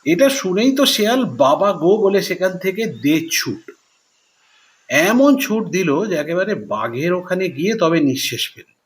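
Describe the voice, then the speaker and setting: A man telling children's stories expressively and pausing to explain words as he goes. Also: high voice, sing-song. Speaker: storyteller. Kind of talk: children's storytelling with word explanations.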